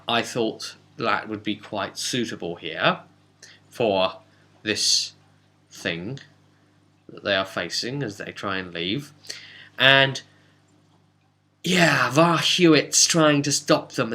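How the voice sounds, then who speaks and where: A man giving a casual, unscripted recap as a tabletop game master, rambling and animated with hesitant asides. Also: mid-range voice, slow, long pauses.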